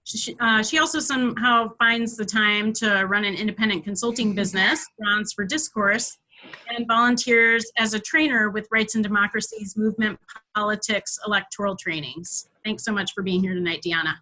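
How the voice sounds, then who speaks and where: A woman moderating an online town hall, reading a smooth scripted introduction of the next guest. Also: medium pitch, steady tone, normal speed, sharp tone.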